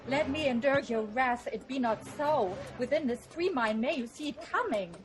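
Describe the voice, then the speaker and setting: A woman's voice: high voice, lively intonation, moderate pace, music in the background. A woman playing a fearful, hesitant messenger from the watch in a Shakespearean audio drama, pleading as she reports.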